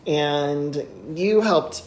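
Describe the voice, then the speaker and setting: A woman co-hosting a casual chat show, retelling a story with lively, laughing asides and sudden high exclamations. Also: very slow. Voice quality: laughs often while talking. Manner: animated retelling, voice jumps high when excited. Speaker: podcast co-host. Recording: echoey room with faint noise.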